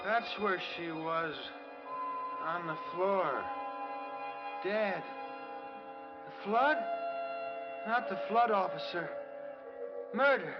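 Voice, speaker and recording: A man's voice: high voice, racing, lightly acted. An actor with an American accent playing a delirious injured man in an old radio drama, raving in broken, repeated short phrases. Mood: delirious, terrified. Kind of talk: 1940s-50s American radio acting. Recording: muffled old radio recording, loud music.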